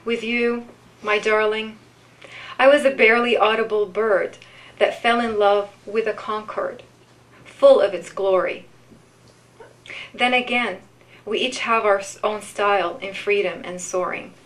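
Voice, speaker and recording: Female poetry reader, mid-pitched, unhurried and measured, live event delivery. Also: steady tone, poor audio. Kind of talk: live poetry reading with informal commentary.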